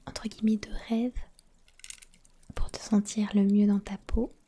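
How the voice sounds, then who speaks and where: A woman hosting a casual ASMR live chat, speaking softly and intimately to viewers in a breathy whisper. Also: medium pitch, flat delivery, unhurried, a little hiss or hum. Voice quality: breathy, mostly unvoiced whisper. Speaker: ASMR streamer, host.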